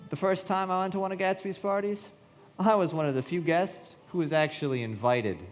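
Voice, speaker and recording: Young male narrator played by an actor, live radio drama; reflective, descriptive, animated delivery in a reverberant hall. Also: on the high side, natural voice. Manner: measured, descriptive storytelling.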